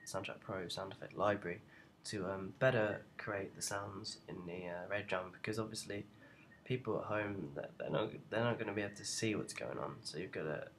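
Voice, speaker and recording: A young man with a deep, creaky voice talks through a software tutorial. He is informal and unscripted, feeling his way hesitantly from step to step. There is light noise behind his voice. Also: a little fast. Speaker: tutorial presenter. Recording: noticeable background noise.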